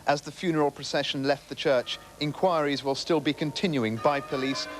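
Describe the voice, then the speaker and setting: A man's voice: on the high side, fairly even intonation, a little fast, faint music behind. A British man narrating a scripted news report in a solemn, formal manner.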